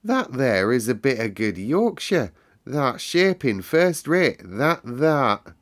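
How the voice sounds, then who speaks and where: Man putting on a keen high-pitched young girl. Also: very animated, slow pace, fairly bright tone. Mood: admiring. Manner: exclaiming, keen. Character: joyful, admiring young girl trying Yorkshire dialect.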